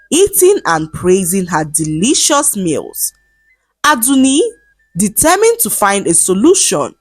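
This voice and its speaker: A woman narrating a scripted folktale in an expressive, dramatic storytelling manner. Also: mid-range voice, no room sound.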